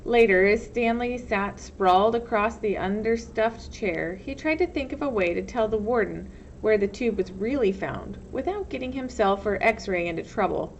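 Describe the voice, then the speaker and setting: A woman's voice: mid-range voice, moderate pace, hardly any pauses. A woman reading a storybook aloud as narrator, expressively, like a teacher. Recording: dull lo-fi sound, faint noise.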